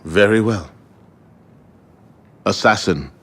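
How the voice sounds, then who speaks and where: An actor playing a solemn, authoritative middle-aged leader of assassins in a video game, speaking formally. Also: male voice, lowish voice. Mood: solemn, commanding. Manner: formal, measured, commanding. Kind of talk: video game cutscene acting.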